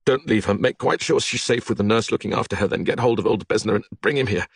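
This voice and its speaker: A man's voice: lowish voice, quick pace, slightly rough, no room sound, studio quality. A man reading aloud, voicing a wounded young man who gives urgent, pleading orders.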